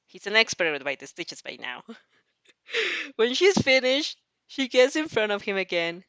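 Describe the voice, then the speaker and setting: Animated woman reading a story aloud. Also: fairly high pitch, a little slow, no echo. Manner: giggly, stumbles over words. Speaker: fan fiction reader reacting as she reads. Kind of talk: expressive story reading with amused reactions.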